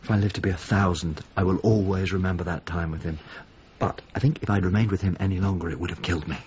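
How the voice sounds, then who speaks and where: Deep-voiced, melancholy painter played by a man. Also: steady tone, quick pace, darkish tone, highs cut off, slight background noise, lightly acted. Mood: wistful, troubled. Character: Mario, melancholy haunted painter, a grown man. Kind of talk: naturalistic radio drama acting and monologue.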